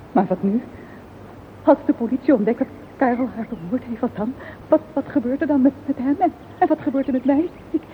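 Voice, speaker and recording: A woman's voice: sits high, natural voice. A woman acting a shocked, panicking and scheming sister in a radio play, breathless, stammering and agitated. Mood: stunned, panicking. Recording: old tinny radio recording with hiss.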